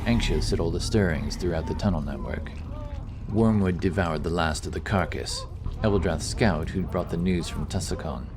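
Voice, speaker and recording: A man's voice: sits low, noisy background. A man narrating a scripted fantasy audiobook.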